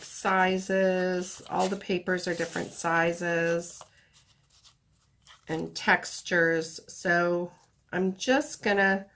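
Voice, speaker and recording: Casual craft host, woman, stuffy-nosed, gravelly low voice, unhurried rambling delivery, noisy tabletop recording. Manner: chatty, rambling, relaxed while working with her hands. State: stuffy nose from a cold. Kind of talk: casual craft tutorial talk. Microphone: camera above a tabletop, hands only.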